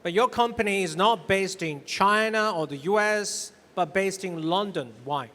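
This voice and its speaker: A man's voice: high voice, a little slow, bright, forceful tone. A man moderating an onstage interview, asking questions in a conversational way. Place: stage at a tech expo. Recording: reverberant hall sound.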